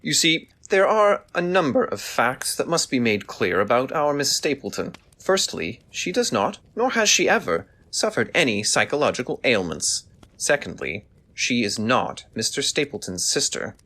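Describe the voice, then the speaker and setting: A theatrical detective, very animated delivery. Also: male voice, medium pitch, exaggerated voice. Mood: accusing, assured. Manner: theatrical, deliberate, authoritative deductive speech. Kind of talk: audio drama acting.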